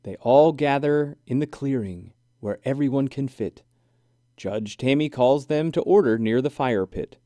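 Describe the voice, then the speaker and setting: Animated, unhurried man reading a storybook. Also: mid-range voice, no echo, studio quality. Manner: animated, deliberate, sing-song rhyming delivery. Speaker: children's book reader. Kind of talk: expressive storybook read-aloud.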